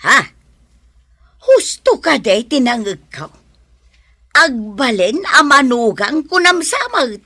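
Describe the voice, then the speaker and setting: A woman playing a lively, forceful family relative in a radio drama. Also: high voice, takes it slowly, long pauses, dry recording, full character voice. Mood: excited.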